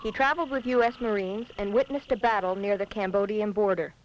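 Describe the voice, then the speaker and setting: A woman's voice: medium pitch, fairly even intonation, fairly quick, dull audio. A woman reading scripted political narration on a 1970s documentary film soundtrack.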